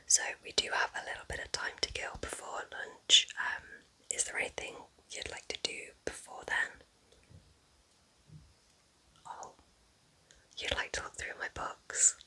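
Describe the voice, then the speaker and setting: Casting: woman playing a kind, affectionate cottage witch for ASMR roleplay, soothing and chatty, in a breathy, very bright, sibilant whisper. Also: measured pace, pauses often, poor audio. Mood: gentle, welcoming. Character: kind, cosy forest witch welcoming a visiting relative.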